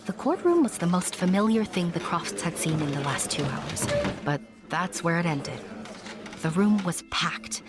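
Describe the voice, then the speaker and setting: A woman's voice: lowish voice, expressive, normal speed, over music, lightly acted. A woman playing a confiding storyteller who narrates a fantasy audio drama, addressing the listener directly. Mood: storytelling. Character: confiding fairy tale storyteller.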